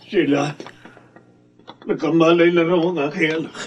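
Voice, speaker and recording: An actor playing a grieving, devout older father in a Filipino radio drama, speaking in a sorrowful, halting way with gentle reproach. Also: on the high side, steady tone, muffled recording, natural voice. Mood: reproachful. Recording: radio drama with music underneath.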